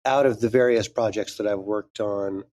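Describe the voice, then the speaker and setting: Brief: male guest, warm dark tone, reflective. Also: fairly low pitch, steady tone, normal speed. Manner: thoughtful, earnest. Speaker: guest creator. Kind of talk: interview answer.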